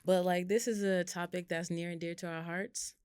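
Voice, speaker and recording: A woman's voice: lowish voice, fairly even intonation, moderate pace, a little mellow, smooth, no room sound. A woman co-hosting a podcast, upbeat and chatty, adding short reactions and backing up her partner. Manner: upbeat, brief interjections and backchannels. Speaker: podcast co-host. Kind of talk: casual chat.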